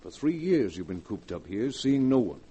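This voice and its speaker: An actor playing a concerned, level-headed best friend, measured and persuasive, in a 1940s radio drama. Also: male voice, medium pitch, sing-song, warm tone. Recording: dull, faintly noisy old radio recording.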